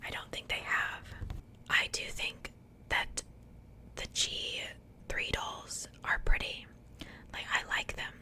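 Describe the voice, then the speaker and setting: A woman speaking in a breathy, unvoiced whisper as an ASMR host, chatting casually and unhurriedly as she comments on an unboxing. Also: slow pace, long pauses, sharp tone.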